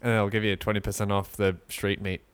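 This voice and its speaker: A man co-hosting a podcast in casual chat, rambling and joking with halting restarts. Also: lowish voice, flat delivery, clear, resonant tone. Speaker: podcast co-host. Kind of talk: casual chat, mock sponsor read.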